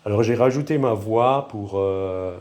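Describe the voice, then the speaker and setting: A man with a pronounced regional French accent talks through a dish in his home kitchen, recorded on a handheld phone. His voice sits fairly low and sounds dark and mellow. He hesitates, restarts and makes light of himself as he goes. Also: measured pace. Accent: noticeable regional French accent. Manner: hesitant, self-deprecating, thinking aloud. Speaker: home cook. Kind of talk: casual cooking demonstration.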